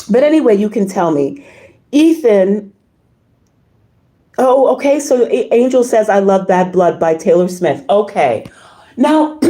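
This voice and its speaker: A slightly hoarse woman hosting a casual livestream, reading and answering viewer comments in a halting, effusive way. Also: mid-range voice, mellow. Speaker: livestream host.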